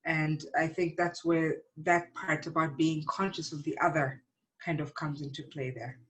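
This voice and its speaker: Middle-aged woman, slightly low register. Thoughtful interview guest who explains things in a measured, conversational way. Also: steady tone. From born in Uganda, grew up in South Africa. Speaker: interview guest, psychologist and teacher.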